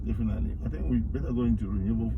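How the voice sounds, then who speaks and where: A man's voice: lowish voice, rapid-fire. A man from Cameroon, answering questions in a casual interview in a car, hesitant and repeating words as he searches for them. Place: inside a car in city traffic. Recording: tinny phone-like sound with loud car noise.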